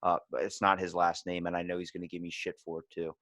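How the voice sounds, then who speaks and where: A man's voice: low voice, monotone, fast talker, a bit bright, no echo, clean studio sound. A man hosting a podcast in casual, joking chat with some swearing. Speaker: podcast host.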